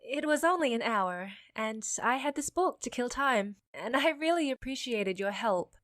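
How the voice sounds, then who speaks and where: An actress playing a frank, wry half-human job seeker in a fiction podcast, candid and slightly awkward. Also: female voice, fairly high pitch, expressive, normal speed, a bit bright. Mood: grateful, candid.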